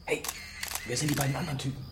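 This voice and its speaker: An actor playing a driven, excitable German reporter in a radio drama, urgent and commanding. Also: male voice, mid-range voice, rapid-fire, recorded from a distance, natural voice. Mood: determined. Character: driven, excitable German male reporter chasing stolen treasure. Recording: noisy, echoey, off the microphone.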